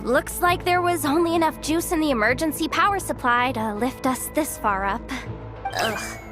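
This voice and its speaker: High-pitched, jittery young tech tinkerer. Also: female voice, moderate pace, over music. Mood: panicky, awkward. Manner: nervous, expressive muttering to herself. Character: young female tech tinkerer, anxious, chatty, self-deprecating. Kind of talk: anime game voice acting.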